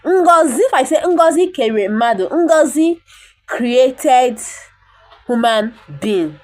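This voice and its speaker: A woman's voice: high voice, animated, takes it slowly. A woman, an Igbo speaker, teaching the language by reading a bible verse and repeating words for pronunciation. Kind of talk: reading and explaining a bible text.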